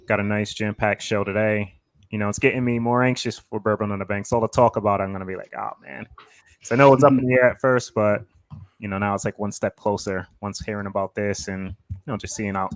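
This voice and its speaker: A man co-hosts a casual online show in a lowish voice with a dry, close sound. He talks excitedly and breaks into easy laughter. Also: quick pace, dry recording. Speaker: co-host. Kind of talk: casual chat.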